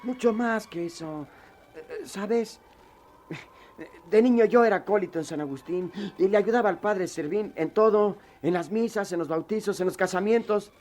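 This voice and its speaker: High-voiced, mischievous Mexican lad played by a man. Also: normal speed, a little mellow, natural voice. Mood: playful. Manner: colloquial, frank, playful. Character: mischievous, good-hearted, skinny young slum pal. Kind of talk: radio drama acting.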